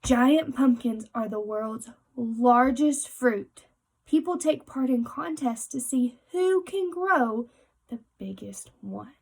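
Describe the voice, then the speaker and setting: Female teacher for picture book read-aloud: slow delivery, soft-edged husky tone, talks directly to kids. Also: on the high side, lively intonation. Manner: explanatory, addressing young listeners directly.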